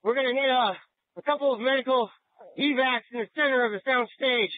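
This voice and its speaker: High-pitched man on tinny police radio. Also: fairly quick, no room sound. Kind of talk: emergency police radio dispatches. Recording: tinny, narrow-band police scanner radio.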